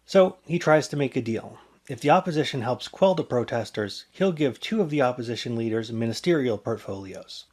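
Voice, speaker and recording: A man presents a scripted explainer as narrator. His voice sits at an average pitch for a man. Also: expressive, brisk, a little mellow.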